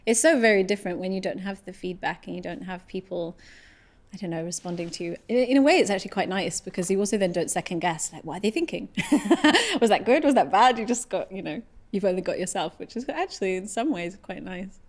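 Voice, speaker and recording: A woman's voice: medium pitch, expressive, brisk. A woman musician chatting casually in an interview, thinking aloud in an informal way.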